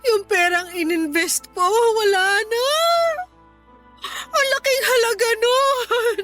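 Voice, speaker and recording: A sorry, loving mom in a radio drama, high pleading voice, music playing under it. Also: female voice, steady tone, slow pace, pushed and bright, full character voice. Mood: distraught. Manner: emotional, pleading. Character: remorseful, affectionate middle-aged mother, former compulsive shopper. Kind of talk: Tagalog radio drama acting.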